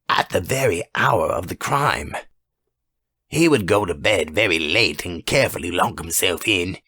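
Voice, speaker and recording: A man narrating a horror story as a dramatic audiobook, with a measured, suspenseful, theatrical delivery. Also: sits low, a bit bright, breathy edge, no room sound.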